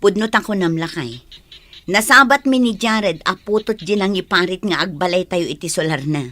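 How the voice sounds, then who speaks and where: A woman's voice: lowish voice, moderate pace, a bit bright, close to an everyday voice. A woman playing a worried rural wife and mother in a radio drama, with an Ilocano Filipino accent. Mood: concerned.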